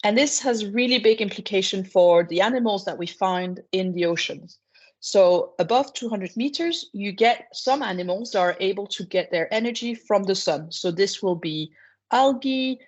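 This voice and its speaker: A woman's voice: medium pitch, normal speed. Woman explaining science online. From France. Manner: relaxed, explanatory, with frequent "uh" and "um" fillers. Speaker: marine biology researcher. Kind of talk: online presentation. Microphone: video call. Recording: dull lo-fi video call audio.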